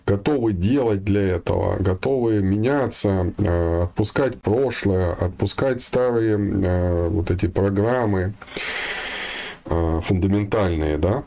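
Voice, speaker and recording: A man giving an informal spiritual talk to viewers, rambling and halting, with emphatic repetition. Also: on the low side, gravelly, dark tone. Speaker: spiritual channel host.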